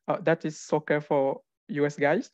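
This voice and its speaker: Young male researcher from Cameroon, fairly high voice, halting informal self-introduction, dull lo-fi call audio. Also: flat delivery, dry recording. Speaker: physics research fellow introducing themself.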